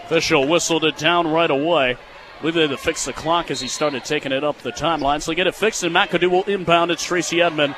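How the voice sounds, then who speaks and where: A young American man calling live basketball on radio as play-by-play broadcaster, in clipped phrases and excitable on big plays. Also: on the high side, fast talker. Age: young adult. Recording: clearly noisy live sports venue sound.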